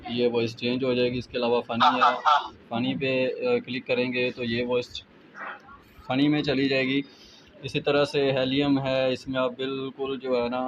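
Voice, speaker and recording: A man with a Pakistani accent giving a casual step-by-step app tutorial as a YouTuber, addressing viewers as friends. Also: mid-range voice. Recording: muffled lo-fi with clear background noise.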